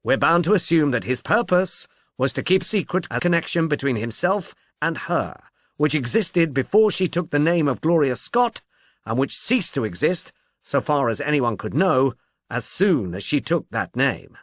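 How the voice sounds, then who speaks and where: Male reader as clever impatient sleuth, emphatic lecturing, raised lively pitch, dry sound. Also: moderate pace, no room sound. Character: brisk, argumentative male amateur detective, impatiently clever.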